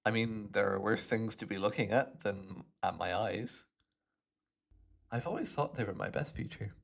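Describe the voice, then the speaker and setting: A man's voice: lowish voice, vocal fry. A man acting out a smug, chatty imprisoned supervillain with hypnotic telepathy, musing playfully to the listener in an ASMR roleplay. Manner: playful, smug, unhurried musing with little chuckles.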